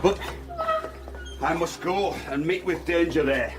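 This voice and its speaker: A man's voice: fairly high pitch, measured pace, music in the background, lightly acted. An actor playing a troubled, honour-bound older nobleman in a Shakespearean radio drama, earnest and formal. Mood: troubled, resolving.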